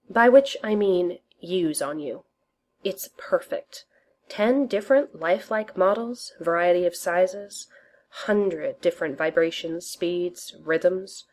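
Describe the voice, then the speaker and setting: Smug male inventor, teasing and low, read by a woman. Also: a little mellow. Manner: low murmured whisper, then breezy and cheerful. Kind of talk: fan fiction audiobook reading.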